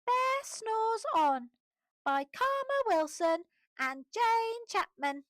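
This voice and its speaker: A woman with an English accent reading a bedtime story to toddlers in a measured, deliberate storybook delivery. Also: extremely high pitch, very animated, a bit bright. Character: dog storyteller who reads picture books to small children. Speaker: children's storyteller. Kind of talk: slow read-aloud bedtime story for toddlers.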